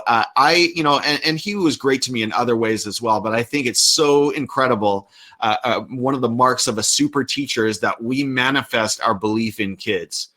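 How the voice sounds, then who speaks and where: Bright-voiced Canadian male teacher. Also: mid-range voice. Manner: reflective, measured storytelling. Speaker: teacher and guest. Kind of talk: casual interview over video call. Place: home study with bookshelves.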